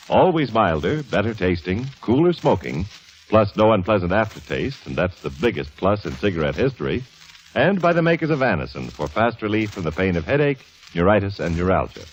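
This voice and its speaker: Male announcer, period radio: emphatic scripted delivery, lively intonation, dull old broadcast sound. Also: on the low side, husky. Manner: formal, emphatic.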